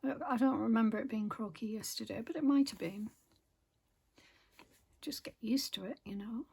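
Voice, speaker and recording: A woman vlogging casually while she stitches at home, rambling softly with many pauses, her voice croaky from a sore throat. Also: fairly high pitch. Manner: soft-spoken, rambling, many pauses and fillers, often half-whispered. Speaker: craft vlogger. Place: home room with window open.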